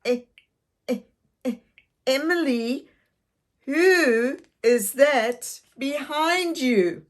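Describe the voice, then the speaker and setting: Storybook older sister, played by a woman: young girl, wary and wide-eyed, halting but emphatic. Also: high voice, expressive, pitched above the performer's own voice, heavily acted. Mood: nervous.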